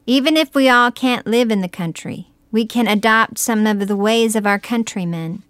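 A woman narrating a scripted, folksy reflective essay in a measured, plain-spoken way. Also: a little high, dry recording, clean studio sound.

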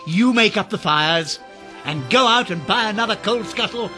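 An actor playing a jubilant, reformed old miser in a radio drama, chuckling and theatrical. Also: male voice, high-pitched, animated, normal speed, pushed and bright, soft background music, full character voice. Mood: overjoyed. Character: jubilant reformed old male miser, teasing, warm-hearted.

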